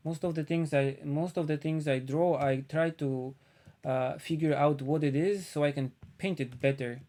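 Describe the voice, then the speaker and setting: A man from Poland with a Polish accent, chatting casually on a live stream as he paints, thinking aloud with frequent pauses. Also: a little high, fairly even intonation, warm tone.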